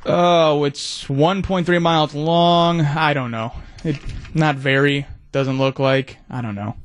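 A man with an American accent in the sidekick seat of a radio show. His voice is a little higher than average, and he talks in loose, casual banter. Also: fairly even intonation, slow.

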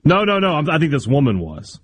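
A man's voice: fairly high pitch, wildly swooping pitch, brisk, husky. A man co-hosting a sports radio show, blunt and joking, often restarting his words. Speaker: radio co-host. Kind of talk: casual talk radio banter.

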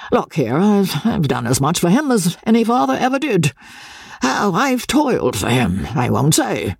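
A man's voice: high-pitched, huge pitch swings, moderate pace, gravelly, slightly rough, no echo, studio quality, voice pushed up, exaggerated voice. A man voicing a coarse, blustering rich old merchant father who swings between bluff good humour and bullying temper.

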